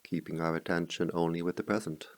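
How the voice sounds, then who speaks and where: A man leading a guided meditation as a teacher, speaking in slow, measured phrases with pauses between them. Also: sits low, fairly even intonation, no echo.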